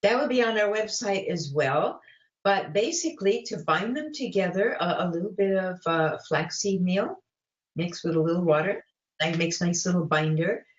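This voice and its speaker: A woman's voice: fairly low pitch, animated, moderate pace, muffled recording. Woman in her seventies, chatty, roomy sound. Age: 70s. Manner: warm, chatty, many fillers and restarts. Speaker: guest, vegan cookbook author and cooking teacher. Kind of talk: casual interview chat.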